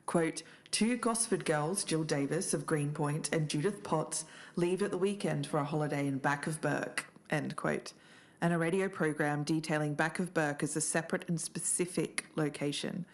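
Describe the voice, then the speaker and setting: A low-pitched woman speaks as a podcast co-host. She narrates findings and reads quoted material to the listener in an even, low register. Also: normal speed.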